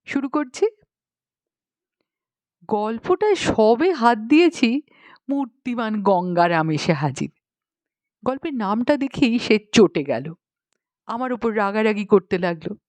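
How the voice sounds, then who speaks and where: A woman narrating a humorous audiobook story in an expressive, scripted reading style. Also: a little high, takes it slowly, pauses often, no echo.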